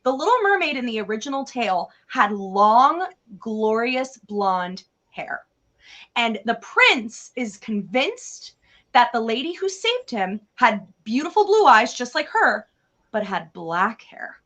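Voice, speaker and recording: A woman retelling a tale in a casual, chatty way, with an animated, theatrical and slangy manner. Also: on the high side, measured pace. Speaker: co-host retelling fairy tales.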